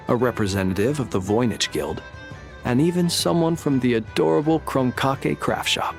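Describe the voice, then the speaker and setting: A man playing a formal, composed and encouraging comrade in a video game, speaking in measured, courteous phrases. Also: mid-range voice, creaky, lightly acted. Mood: courteous, earnest.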